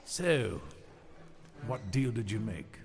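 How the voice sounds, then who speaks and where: A man's voice: lowish voice, expressive, slow, vocal fry, heavily acted. An actor playing a terse, reserved male adventurer in a fantasy audio drama. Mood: guarded. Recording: long reverb with music behind.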